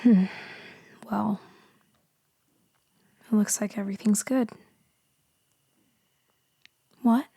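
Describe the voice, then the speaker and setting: A woman playing a gentle vampire in an intimate ASMR roleplay, speaking softly and breathily, often in a whisper, with tender, reassuring, hesitant delivery. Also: mid-range voice, fairly even intonation, slow, creaky, breathy edge, dry recording, heavily acted. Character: gentle female vampire soothing someone she saved.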